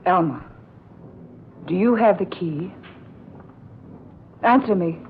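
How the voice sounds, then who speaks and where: An actress playing a distraught, headstrong young niece with earnest, dramatic delivery in an old radio melodrama. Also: female voice, fairly low pitch, lively intonation, slow. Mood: insistent. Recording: muffled old radio recording, faint hiss.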